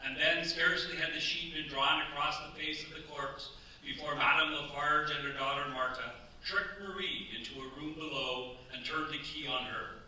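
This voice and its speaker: A man playing the grave narrator of a staged radio crime drama, reading in a formal, measured, dramatic way. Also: mid-range voice, bright, forceful tone. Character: grave storyteller of a true crime tragedy. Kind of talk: scripted radio play narration. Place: cultural centre stage, live performance. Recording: echoey hall, distant mic, faint noise.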